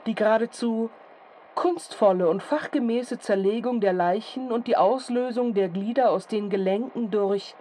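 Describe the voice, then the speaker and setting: Woman acting a mellow, grave radio announcer. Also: fairly high pitch, moderate pace, close to an everyday voice. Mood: solemn, formal. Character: grave, formal female radio announcer reporting murders. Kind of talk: scripted announcement in radio drama. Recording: faint background noise and ambience.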